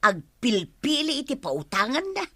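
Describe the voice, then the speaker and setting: A stubborn village woman who grumbles in a high, bright voice with an Ilocano accent. The delivery is radio comedy drama, recorded dry with no room around it. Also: very animated, normal speed, dry recording, exaggerated voice. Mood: complaining.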